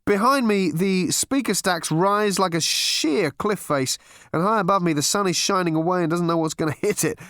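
A man's voice: high-pitched, normal speed, a bit bright, dry recording, studio quality, voice pushed up. A man voicing a breezy male concert reporter who chats cheerfully and laughs through his report. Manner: chirpy, chatty, laughing asides.

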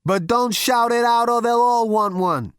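Lady voicing a pushy cocky spaceman dude, loud and brash, dry mic. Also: fairly high pitch, measured pace, clear, resonant tone, no room sound, voice pushed up, natural voice. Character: brash, swaggering hipster male space adventurer.

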